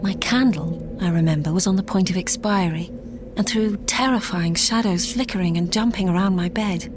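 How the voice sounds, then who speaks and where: A woman playing a sheltered, wistful young gentlewoman in a gothic audio drama, speaking in a measured, literary way. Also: medium pitch, noisy background, lightly acted. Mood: wistful, haunted. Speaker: lead actress and narrator. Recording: audio drama with clear background ambience.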